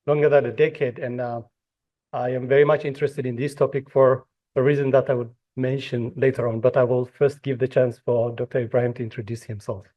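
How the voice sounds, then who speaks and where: Man, from Ethiopia, conference moderator, hesitant, medium pitch, muffled recording. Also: level tone, a little fast, dark tone. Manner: hesitant, many fillers and restarts. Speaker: panel moderator. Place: conference hall.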